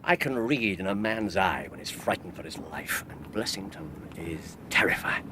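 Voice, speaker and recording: Casting: courteous, sharp-minded gentleman sleuth, English RP, lowish voice with lively swoops, precise radio-play delivery. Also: normal speed, background noise. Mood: confident, analytical. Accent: English, Received Pronunciation. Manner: precise, incisive, theatrical, murmured asides. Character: keen, courteous, self-assured gentleman detective. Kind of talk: radio drama acting.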